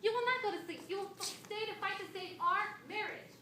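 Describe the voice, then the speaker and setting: A woman's voice: sits high, lively intonation, rapid-fire. A woman playing a volatile young newlywed wife, indignant and emotional, in a stage comedy-drama. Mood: furious. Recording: distant, echoey room with background noise.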